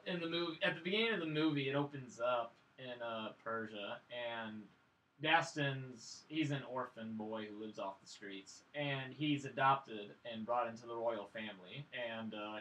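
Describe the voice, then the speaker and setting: A man co-hosting a casual film review, telling the story in an excitable, rambling way. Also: mid-range voice, normal speed. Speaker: podcast co-host reviewing films. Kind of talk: casual chat, film recap. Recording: echoey room, distant microphone.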